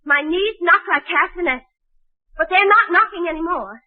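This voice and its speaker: A high-pitched woman's voice on a thin old 1940s radio recording. a lovelorn schoolteacher with a wry wit, tripping over herself when flustered and turning drily sarcastic in classic sitcom style. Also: lively intonation, normal speed. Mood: indignant. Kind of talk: 1940s radio situation comedy acting. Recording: muffled, narrow-band 1940s radio recording.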